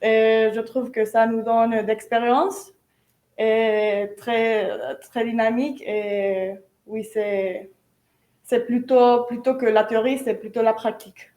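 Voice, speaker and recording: A young woman, a business student for whom French is not her first language, answering interview questions and pausing to search for words. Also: a little high, little pitch movement, mellow. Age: young adult. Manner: searches for words, hesitant non-native phrasing. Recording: echoey room.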